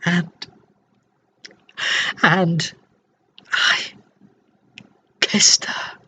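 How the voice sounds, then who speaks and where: A man playing a dying, lovesick, tormented man in a dramatic monologue, halting and breathy, often sinking toward a whisper. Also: on the high side, a bit bright, full character voice. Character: dying, lovesick tormented man confessing his tender, morbid obsession. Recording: dull, slightly noisy home recording.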